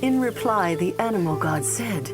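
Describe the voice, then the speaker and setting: A woman narrating an old fable in a calm, measured storytelling voice. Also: mid-range voice, natural voice. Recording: game audio with loud music.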